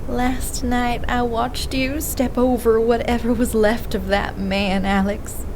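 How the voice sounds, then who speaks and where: A woman plays an earnest investigator in an audio drama, over faint background noise. Her voice sits slightly high and she speaks slowly. She is proud and pointed, ready to challenge her partner. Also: little pitch movement. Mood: hurt, troubled. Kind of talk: naturalistic audio drama acting.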